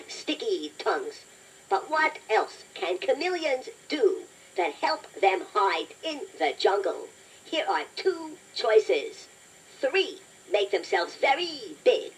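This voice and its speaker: A playful toy robot quiz host, with a high, very lively delivery. It talks straight to young players, encouraging them and poking fun at itself. The sound is a slightly noisy cassette played back away from the mic. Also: female voice, recorded from a distance. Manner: encouraging, playful, deliberate, addresses listener directly. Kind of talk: scripted children's quiz narration.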